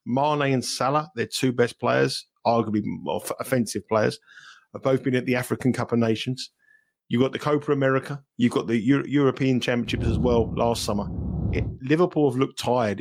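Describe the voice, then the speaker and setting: Man, London accent, podcast guest, chatty football talk, mid pitch. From England. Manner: chatty, animated football analysis. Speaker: guest betting analyst. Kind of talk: podcast interview.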